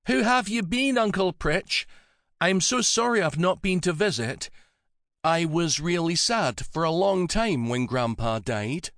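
A younger woman in an audiobook, voiced by a male narrator, tender and anxious. The voice sits well above a normal male pitch and moves expressively, earnest and full of feeling. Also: animated, unhurried, a bit bright, dry recording, clean studio sound, exaggerated voice. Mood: tender, upset. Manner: pleading, emotional, earnest.

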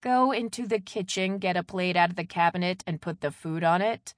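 A woman's voice: on the low side, a little fast, dry recording, clean studio sound, lightly acted. A woman voicing a tired, no-nonsense mother in an audiobook, firm, wry and matter-of-fact. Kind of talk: audiobook character dialogue.

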